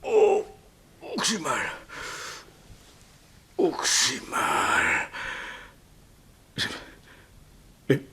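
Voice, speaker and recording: Casting: murderous radio-serial thief. Mock-polite, greedy, sharp-edged voice with husky grain, swinging widely. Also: male voice, on the high side, wildly swooping pitch, extremely slow, long pauses, heavily acted. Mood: agonised panic. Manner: theatrical, mock-polite, chuckling menace. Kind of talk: 1960s French radio crime serial acting.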